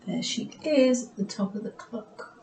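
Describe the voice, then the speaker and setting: A woman reading a children's story aloud as a teacher would, with expressive delivery and asides to young listeners. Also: fairly high pitch, measured pace, a little hiss or hum. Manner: asks the listening children questions. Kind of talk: expressive picture-book read-aloud with asides.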